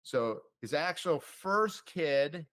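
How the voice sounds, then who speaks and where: A man hosting a podcast, talking informally with quick interjections and self-corrections. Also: a little high, lively intonation, fairly bright tone. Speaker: podcast host. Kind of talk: casual chat.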